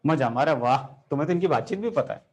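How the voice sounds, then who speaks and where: A fairly high-voiced man teaches chemistry to a class. He talks in an animated way, throws questions at his students and turns the lesson into a story. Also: fast. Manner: animated, rhetorical questions, addresses students directly.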